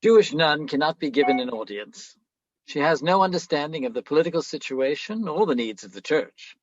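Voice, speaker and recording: A man's voice: fairly high pitch, lively intonation, fairly quick. A man playing a cold, dismissive Pope in a radio play, giving curt, formal orders in a muffled, roomy recording.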